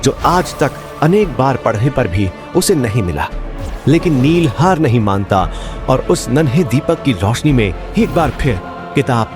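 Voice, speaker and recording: A man narrating a fantasy audio drama in a dramatic, scripted storytelling style. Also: mid-range voice. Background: background music.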